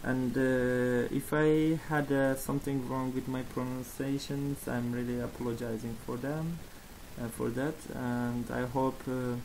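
Slide presenter, non-native English speaker, creaky voice, halting read, noisy amateur recording. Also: medium pitch, fairly even intonation, darkish tone. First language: not English.

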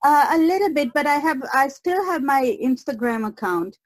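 A woman guest in a reflective podcast conversation on a video call, speaking haltingly and searching for words. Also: high voice, animated. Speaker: guest artist.

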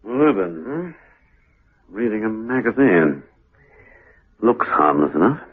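An actor playing a suave, inquisitive secret agent in a radio drama, clipped and urbane. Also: male voice, fairly low pitch, sing-song, slow, background noise. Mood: coolly probing. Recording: muffled, lo-fi radio recording.

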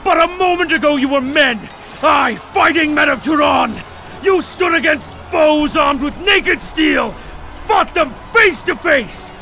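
A fierce barbarian warrior in a fantasy audio drama, bellowing in a very high-strained, gravelly voice. He is commanding and scornful, drawing his words out slowly with forceful edge. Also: faint music behind, heavily acted. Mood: furious, scornful. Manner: roaring, commanding, contemptuous. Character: savage, fierce male barbarian warrior rallying troops.

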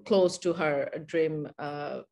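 Halting Bangladeshi woman on a video call. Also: sits low, fairly even intonation, warm tone. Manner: halting, many filler sounds. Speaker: documentary filmmaker, guest. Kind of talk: webinar interview answer.

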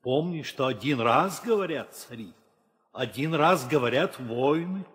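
A man's voice: mid-range voice, expressive, unhurried, a bit bright. An actor playing a weary, authoritative old king and father, solemn and declamatory in a theatrical audio play. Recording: reverberant 1960 audio play record.

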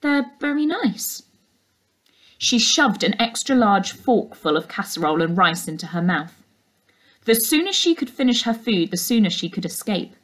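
A young adult woman, a teacher, reading a children's novel aloud in expressive narration, recorded indoors on a laptop microphone. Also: mid-range voice. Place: indoors, living room.

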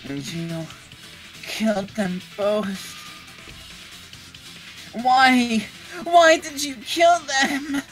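A woman's voice: a little high, drawn-out words, long pauses. A woman voicing a brash, dramatic teenage girl at a trial in a video game. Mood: distressed. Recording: game music behind.

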